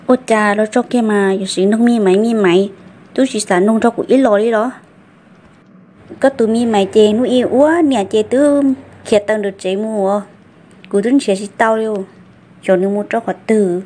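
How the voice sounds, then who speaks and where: A woman's voice: medium pitch, fairly even intonation, normal speed, mellow, lightly acted. A woman voicing a female character in a family drama cartoon, in dramatic dialogue. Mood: conversational.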